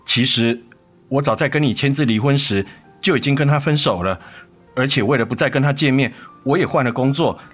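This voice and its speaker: An actor playing a tender, remorseful father and ex-husband in a radio drama, earnest and gentle in manner. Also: mid-range voice, a little fast, lightly acted. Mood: remorseful, pleading. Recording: music under the voice.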